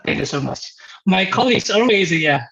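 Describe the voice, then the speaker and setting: A man's voice: sits high, lively intonation, moderate pace, vocal fry, a bit bright, muffled recording. A man with a Korean accent, hosting a casual video call in friendly, short phrases. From Changwon, South Korea. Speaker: host, moderator.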